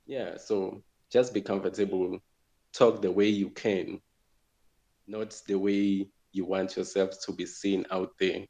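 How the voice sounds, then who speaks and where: A South African man with a very deep voice and a rough, gravelly texture to it. He answers interview questions thoughtfully, often saying a phrase twice while he finds his words. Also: little pitch movement, unhurried, warm tone, low-quality recording. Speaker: guest. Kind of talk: relaxed conversational interview answers.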